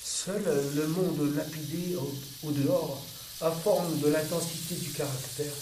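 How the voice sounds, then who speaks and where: A man reciting a poetic dramatic monologue in a measured, deliberate way, with pauses between phrases. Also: on the high side, fairly even intonation. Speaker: monologue performer. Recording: roomy, distant, noisy with water-like hiss.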